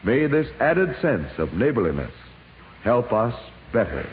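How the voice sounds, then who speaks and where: A man announcing on a 1950s radio broadcast, reading a scripted sponsor message in a formal, solemn, measured way. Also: medium pitch, sing-song, narrow, tinny audio. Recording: old hissy radio broadcast, reverberant hall.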